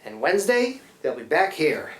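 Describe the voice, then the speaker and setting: A man's voice: fairly high pitch, big pitch swings, normal speed. A man playing a scrappy, stubborn but devoted riverboat engine man in a radio play, with folksy, ungrammatical speech. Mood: folksy, scheming. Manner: folksy, ungrammatical, hesitant when tender. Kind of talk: old-time radio play acting. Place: live theatre stage. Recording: roomy live stage sound.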